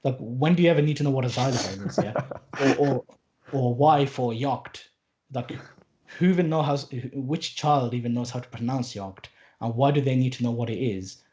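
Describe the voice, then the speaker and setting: A man's voice: mid-range voice, normal speed, dark tone. A man in his late twenties, a podcast guest who teaches Arabic, talking casually and earnestly, with lots of restarts and fillers and some wry asides. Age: late 20s. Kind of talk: casual conversational interview.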